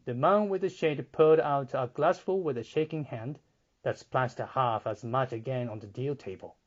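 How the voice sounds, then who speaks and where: A man reading literary fiction as an audiobook narrator, in a measured, deliberate, dramatic style. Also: medium pitch, dull audio. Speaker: audiobook reader.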